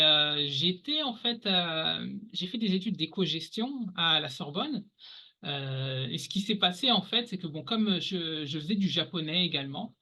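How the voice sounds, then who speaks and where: Bright-voiced French man, halting and chatty. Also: a little high. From France, Paris region. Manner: halting, many fillers and restarts. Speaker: podcast guest. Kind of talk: casual interview talk.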